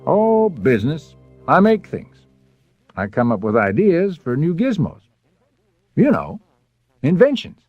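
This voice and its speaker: A man's voice: a little high, wildly swooping pitch, a little slow, long pauses, no room sound, dull audio, exaggerated voice. An actor playing a jovial, eager middle-aged father and inventor in a storybook audio drama, with a folksy salesman's manner. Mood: affable. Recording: music under the dialogue.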